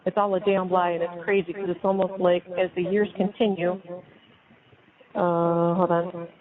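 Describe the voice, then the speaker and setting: A woman's voice: on the low side, flat delivery, moderate pace. A middle-aged American woman talking and reading aloud casually on a phone call, blunt and indignant, stumbling now and then. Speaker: mother on a jail phone call. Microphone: speakerphone. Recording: muffled phone line on speakerphone, chatter behind.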